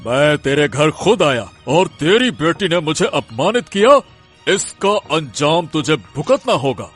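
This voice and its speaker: A man's voice: on the high side, very animated, measured pace, fairly bright tone, husky, soft background music, full character voice. A man voicing a proud, domineering middle-aged landowner in a cartoon village story, pompous and easily offended.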